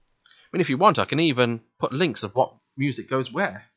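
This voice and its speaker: A man's voice: mid-range voice, lively intonation, moderate pace, a bit bright, husky, no room sound, dull audio. A man hosting a let's play, reading visual novel narration aloud and commenting casually, stumbling now and then.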